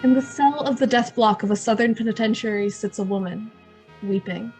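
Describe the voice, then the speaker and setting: A woman announcer narrating an old-time radio drama in a solemn, deliberate way with dramatic pauses. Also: a little high, steady tone, darkish tone. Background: music.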